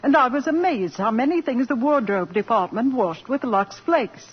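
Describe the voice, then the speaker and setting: A woman's voice: fairly high pitch, expressive, normal speed, husky. A woman who is a dramatic coach, reading scripted commercial dialogue with gracious enthusiasm. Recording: muffled lo-fi 1940s radio broadcast.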